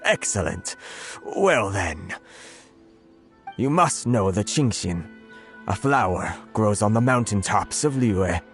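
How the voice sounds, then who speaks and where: A man playing a genial middle-aged government commissioner in a video game, speaking with courteous, grandiose flattery. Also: on the low side, slow, long pauses. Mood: flattering, calculating. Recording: faint game music behind the voice.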